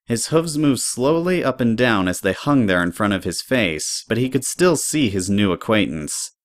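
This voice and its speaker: A woman's voice: rumbling low, expressive, normal speed, dry recording, studio quality. A woman narrator reading scripted story prose for an audio drama.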